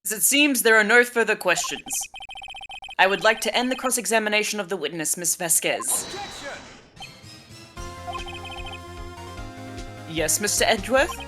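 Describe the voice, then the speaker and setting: A woman voicing a mild, formal, easily puzzled courtroom judge in a game fan dub, speaking deliberately. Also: mid-range voice, natural voice. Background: music.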